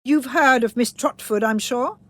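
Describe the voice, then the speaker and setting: A woman voicing a stern, blunt elderly great-aunt in a storybook, with imperious, brisk questioning. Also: on the high side, a bit bright. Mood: brusque, commanding.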